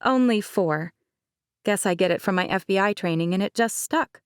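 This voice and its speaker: A woman's voice: mid-range voice, moderate pace, smooth, no echo, close to an everyday voice. A direct, earnest young wedding planner with a firm, plain delivery, as a woman reads her lines. The sound is close and dry, with no room around it.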